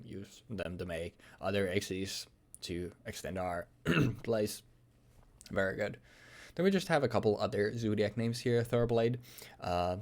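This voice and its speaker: A young man with a hoarse, worn voice from recent illness, casually talking through a card deck in a loose, rambling way full of fillers. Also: sits low. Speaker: card game YouTuber.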